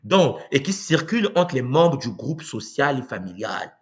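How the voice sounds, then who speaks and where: A man from Congo giving a live talk to viewers, animated and rhetorical, often asking "n'est-ce pas?" and acting out quoted voices. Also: medium pitch, normal speed. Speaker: speaker on spiritual tradition. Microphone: livestream mic.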